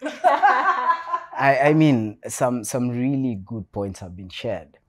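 A Kenyan man from Nairobi speaking as a guest on a panel, measured and reflective, often restarting his sentences. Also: medium pitch, sing-song, a bit bright. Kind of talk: panel discussion. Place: hotel event space.

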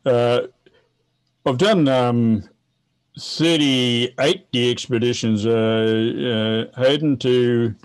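A man in his seventies with a New Zealand accent, chatting and explaining casually in a halting way with repeated words. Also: mid-range voice, fairly even intonation, clean tone. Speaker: guest, veteran amateur radio operator. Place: home office, indoors.

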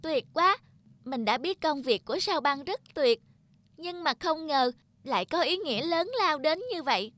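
Cartoon star child: small, high, eager and earnest, full of wonder, music underneath. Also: female voice, lively intonation, normal speed, fairly bright tone, dry recording. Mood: delighted. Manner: earnest, exclaiming. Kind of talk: Vietnamese children's cartoon dubbing. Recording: music behind the voice.

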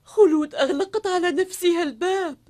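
A woman's voice: extremely high pitch, a little fast, darkish tone. A woman playing an anxious, motherly housemaid in an Arabic cartoon dub, pleading and tender.

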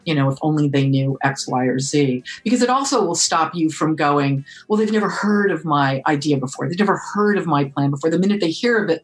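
An animated, emphatic woman author talking as a guest on an interview podcast. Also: low voice, breathy edge. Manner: animated, emphatic, quick bursts of speech.

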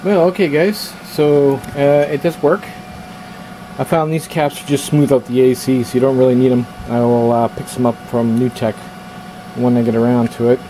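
Male repair vlogger, casual and halting, thinking aloud; noisy handheld camera sound in a small workshop. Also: mid-range voice, steady tone, dark tone. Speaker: electronics repair hobbyist hosting a video. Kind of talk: casual hands-on repair commentary. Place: small indoor workshop at a workbench. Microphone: handheld camera's built-in microphone.

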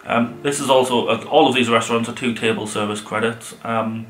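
A man's voice: medium pitch, steady tone, fairly quick, fairly bright tone, reverberant, slight background noise, low-quality recording. A middle-aged man, chatting casually and enthusiastically to camera as a vlog co-host. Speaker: vlog co-host reviewing restaurants. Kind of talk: casual sit-down chat to camera. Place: living room sofa, indoors. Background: background music.

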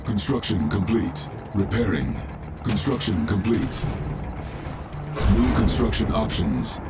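Casting: impersonal war-game base announcer, low voice, terse delivery, heard under loud music. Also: male voice, animated, slow pace. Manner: clipped, impersonal. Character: impassive male military base computer announcer. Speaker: battle status announcer. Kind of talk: clipped video game status announcements.

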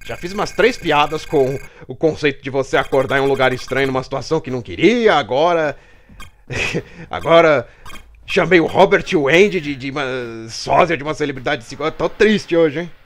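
A man's voice: a little high, fairly quick, fairly bright tone. A Brazilian man with a Brazilian Portuguese accent, who is catching a cold, hosting a comic let's play and clowning through invented dialogue for the characters. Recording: game music behind.

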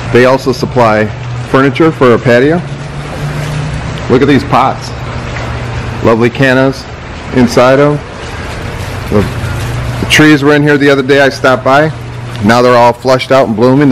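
A man's voice: medium pitch, noisy background. A man, an upbeat host giving a walking tour of a greenhouse nursery, camera held at arm's length. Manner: upbeat, salesy, inviting. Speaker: business owner and channel host. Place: large greenhouse nursery, indoors.

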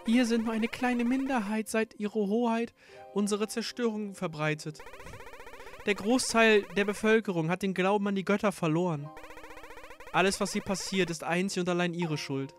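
A man's voice: high voice, normal speed, faint music behind, close to an everyday voice. A man voicing a solemn, pious nun who preaches about donations and salvation, reading in a pompous sermon tone.

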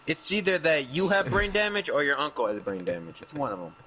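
A man's voice: on the high side, brisk, pushed and bright, slight background noise. A young man chatting casually while gaming online, teasing and mocking the others with plenty of swearing. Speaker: gaming video host playing online. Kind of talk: casual game voice chat.

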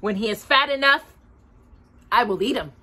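A woman's voice: a little high, animated, moderate pace, bright, forceful tone. A woman reading a storybook aloud and voicing a wicked, gloating old female witch with a cackle. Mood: bossy.

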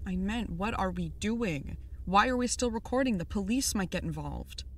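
A woman's voice: mid-range voice, animated, moderate pace. A woman playing a worried, skeptical companion to a podcaster, questioning and concerned in audio drama dialogue.

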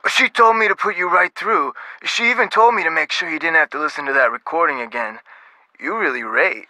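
An actress playing a brisk, officious office assistant, clipped and businesslike in game phone dialogue. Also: female voice, low voice, bright, forceful tone, no echo, low-quality recording.

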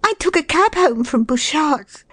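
A woman's voice: high voice, lively intonation, unhurried, a bit bright, dry recording, pitched above the performer's own voice. A woman voicing a flustered, genteel lady in a storybook, piteous and over-polite, with a quavering delivery. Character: flustered, prim, pitiful lady apologising to a stranger. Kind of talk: storybook character voice.

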